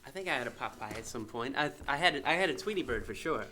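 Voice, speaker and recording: A relaxed male co-host at a mid-range pitch for a man, chatting on the radio. He hesitates often and fills gaps with plenty of uh's. The tone stays easygoing and unhurried. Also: slight background noise. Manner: laid-back, hesitant with many fillers. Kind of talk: casual radio chat.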